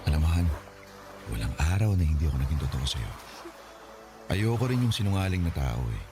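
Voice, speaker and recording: A devoted, playful fiancé in Filipino radio drama style. His low voice swings widely in pitch as he speaks with earnest affection. Music plays behind him. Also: sing-song, fairly quick, exaggerated voice. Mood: earnest, pleading.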